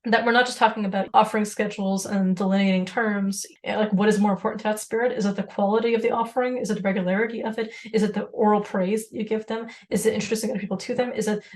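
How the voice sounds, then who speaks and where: A woman co-hosts a casual podcast discussion. She talks quickly and at length, at a middling pitch, and laughs often as she goes. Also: fairly even intonation. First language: Serbian. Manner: rapid, talkative, laughs often. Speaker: podcast co-host.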